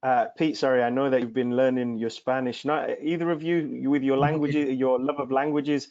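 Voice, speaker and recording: A man's voice: mid-range voice, fairly even intonation, fairly quick, darkish tone, no echo. A man whose first language is Greek, hosting an online webinar and praising his guests effusively. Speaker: webinar host. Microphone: video call. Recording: dry, muffled video call audio.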